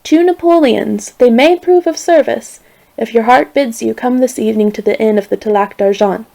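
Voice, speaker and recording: A woman voicing a showy male quack dentist who hawks his offer loudly to a crowd. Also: a little high, moderate pace, gravelly. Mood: hawking.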